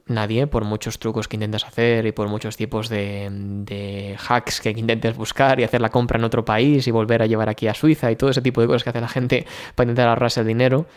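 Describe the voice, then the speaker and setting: Chatty Spanish man, smooth clear voice. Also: mid-range voice, a little mellow. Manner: chatty, thinking aloud with many fillers and restarts. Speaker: vlogger. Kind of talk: casual personal vlog talk.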